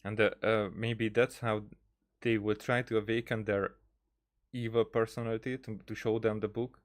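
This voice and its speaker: A man giving casual game commentary, thinking aloud in a halting, rambling way. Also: lowish voice, flat delivery. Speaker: gaming streamer.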